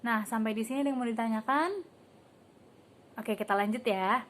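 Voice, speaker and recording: A young woman student teacher leads a peer-teaching lesson in a classroom, her voice sitting a little high. She keeps it casual with filler words, tossing questions to the class and naming students to answer. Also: moderate pace, fairly bright tone. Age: young adult. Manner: asks the class questions and calls on students by name.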